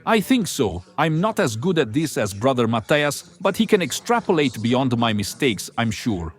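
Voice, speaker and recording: A man's voice: medium pitch, big pitch swings, normal speed, soft background music, close to an everyday voice. A man acting a religious brother who serves under a starship captain in an audio drama. His mid-range voice moves up and down a lot. He sounds earnest, modest and obedient. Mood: earnest, uneasy. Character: deferential, modest male religious brother and junior officer.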